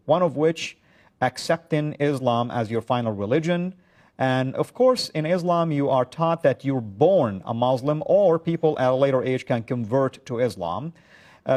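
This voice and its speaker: A man's voice: mid-range voice, a little mellow. Earnest middle-aged man hosting a show. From Saudi Arabia. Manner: earnest, measured, many "uh" pauses. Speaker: show host. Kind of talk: talk-show monologue to a guest.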